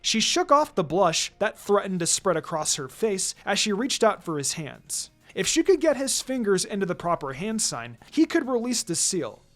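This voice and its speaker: A man's voice: high voice, sing-song, normal speed, hardly any pauses, fairly bright tone. A man reading a story aloud in a dramatic scripted narration style. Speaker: narrator reading fan fiction. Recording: music behind the voice.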